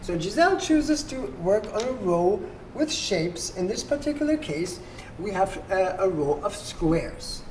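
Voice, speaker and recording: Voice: mid-range voice, very animated, unhurried, a little mellow. A person patiently explaining board game rules as the host to players at a table and checking that they follow. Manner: patient, instructive, checks understanding. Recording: noisy room with background ambience.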